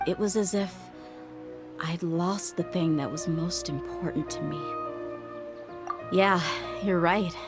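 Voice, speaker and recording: Game heroine: tough young desert mercenary, lowish voice, frank, introspective, music behind. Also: female voice, slow pace, pauses often. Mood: melancholy, reflective. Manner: frank, reflective, weighty delivery. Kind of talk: video game voice acting.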